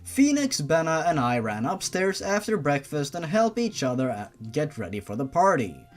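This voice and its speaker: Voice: medium pitch, very animated, moderate pace. A narrator reading fan fiction aloud in a theatrical, irreverent style. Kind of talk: dramatic fan fiction reading with mocking asides.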